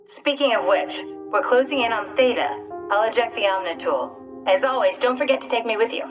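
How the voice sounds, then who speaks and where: A woman's voice: a little high, brisk, close to an everyday voice. An actress voicing a pragmatic female scientist whose mind lives in a machine, with a dry, matter-of-fact way of explaining things in a video game. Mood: businesslike. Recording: echoey room, music underneath.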